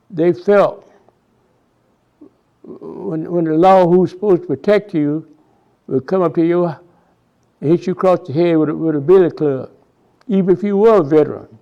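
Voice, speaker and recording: A man in his nineties from Texas with a Southern accent, recalling his life in a halting oral history interview full of restarts and repeated words. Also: fairly high pitch, gravelly, highs cut off. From Houston, Texas. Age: 90s.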